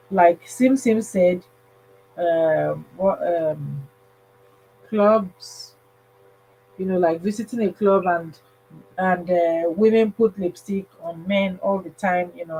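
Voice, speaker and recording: A woman's voice: fairly low pitch, darkish tone, a little hiss or hum, low-quality recording. A woman hosting a casual livestream advice talk, speaking emphatically and repeating phrases for stress. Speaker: livestream host.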